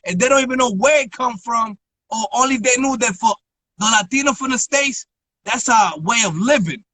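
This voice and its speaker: A man's voice: extremely high pitch, normal speed, pushed and bright, dry recording. A man hosting a live-stream interview, talking emphatically and often checking in with his listener. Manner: emphatic, keeps asking "you feel me". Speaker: interview host. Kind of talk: casual interview chat. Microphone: phone on a live stream.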